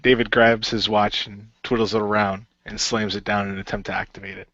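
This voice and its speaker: A man's voice: lowish voice, completely flat delivery, a little fast, fairly bright tone, no echo. A man improvising narration and hesitant character dialogue in an amateur radio play. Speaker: player in fan radio play. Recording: dull lo-fi voice recording.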